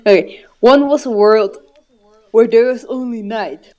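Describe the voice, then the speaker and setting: A woman's voice: on the high side, unhurried, darkish tone. Female co-reader, informal and giggly, trips over words, curses, mixes story reading with joking asides. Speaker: co-host reading fanfiction. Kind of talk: reading fanfiction aloud with casual joking commentary.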